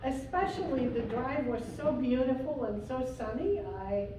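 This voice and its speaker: A woman from Syria, a guest preacher, telling a personal story in a sermon with slow pauses and fillers. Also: high-pitched, lively intonation, noisy background. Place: church sanctuary. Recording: echoey church from far off.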